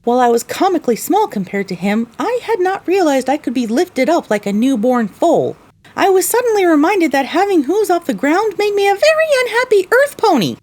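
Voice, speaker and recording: A woman's voice: very high voice, sing-song, a little fast, a little mellow. A woman playing a young pony mare who narrates her own adventure in an audio drama. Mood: earnest, storytelling. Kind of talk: scripted first-person audio drama narration.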